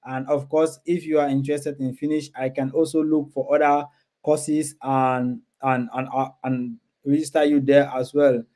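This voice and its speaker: A man's voice: medium pitch, little pitch movement, normal speed, dark tone. A man hosting a casual livestream, talking to viewers and often repeating his words and phrases. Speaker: live stream host. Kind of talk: casual livestream chat, reading and answering viewer comments.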